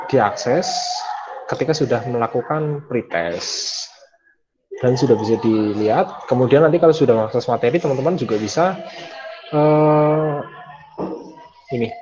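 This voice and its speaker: A man, a university lecturer wrapping up an online class with many fillers and restarts. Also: medium pitch. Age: 20s. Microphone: video call. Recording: dull lo-fi call audio.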